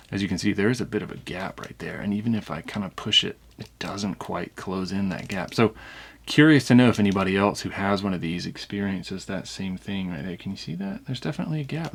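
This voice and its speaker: A man's voice: lowish voice, creaky. A man hosting a casual unboxing review, talking conversationally and thinking aloud as he examines things. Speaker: toy review channel host.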